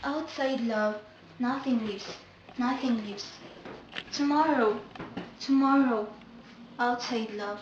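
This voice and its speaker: A woman's voice: very high-pitched, a little slow, sharp tone, distant mic, dull audio, slight background noise, exaggerated voice. An actress playing a distraught young woman sliding into madness, with a theatrical, declamatory delivery in an echoey room. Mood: hushed, fading. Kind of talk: melodramatic stage acting.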